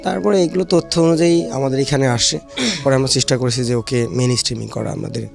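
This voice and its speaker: A Bangladeshi man with a gravelly, medium-pitched voice explains a case in an interview. His phrasing is hesitant and dotted with English terms, and quiet music plays behind him. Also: fairly even intonation, fast. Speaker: shelter manager, interview guest.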